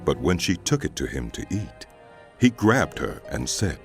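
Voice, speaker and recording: Very deep, gravelly male Bible narrator. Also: sing-song, unhurried. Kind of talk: dramatized scripted Bible narration. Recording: loud music under the voice.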